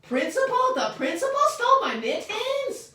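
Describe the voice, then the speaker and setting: Brief: a woman doing a hyper, dramatic little girl, squeaky and lively, storybook reading, roomy echo. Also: moderate pace, heavily acted. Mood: upset. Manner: shrill, shouting, childlike grammar. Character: excitable little kindergarten girl, loud and dramatic. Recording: echoey room, distant mic.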